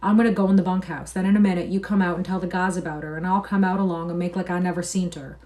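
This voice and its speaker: A woman's voice: lowish voice, steady tone, fast talker, dark tone, lightly acted. A woman reading aloud and voicing a weary, loyal male ranch worker in plain rural speech.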